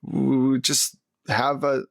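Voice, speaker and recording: A man's voice: medium pitch, monotone, drawn-out words, gravelly, a bit bright, no room sound. A man hosting a tabletop game podcast, chatting casually and jokingly as he signs off. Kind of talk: casual chat, podcast sign-off.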